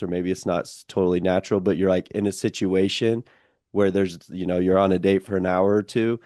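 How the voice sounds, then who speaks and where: A young man hosting a relaxed interview, his voice deep and notably level, self-described as monotone. The tone is mellow and dark. It sits dry and close to the mic, with many casual fillers. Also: no room sound. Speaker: podcast host.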